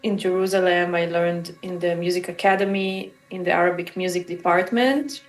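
A woman's voice: fairly low pitch, fairly even intonation, echoey room, low-quality recording. A woman with an Israeli accent answering interview questions as a musician, reflective and searching for words as they go. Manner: halting, searching for words, pausing mid-phrase. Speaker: musician and composer interviewed about their work.

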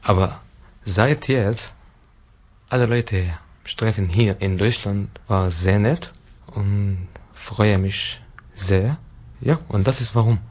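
A man in his thirties from Syria with an Arabic accent, speaking simply and haltingly as an interview guest. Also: lowish voice, darkish tone. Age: 30s. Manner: simple, halting non-native phrasing. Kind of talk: personal talk in a radio interview.

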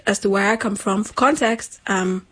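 A young woman from Nigeria, co-hosting a relaxed chat with a slightly husky voice. She talks in a lively, slangy way, her pitch sitting at a middling height. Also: normal speed. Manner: animated, slangy, laughing. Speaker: podcast co-host. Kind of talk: casual chat.

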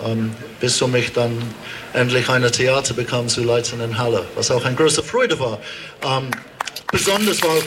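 A middle-aged man from England, a native English speaker with an English accent, giving a public speech haltingly, searching for words. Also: mid-range voice, a bit bright. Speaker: opera director as guest speaker. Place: outdoors at a street demonstration. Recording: clearly noisy outdoor rally recording.

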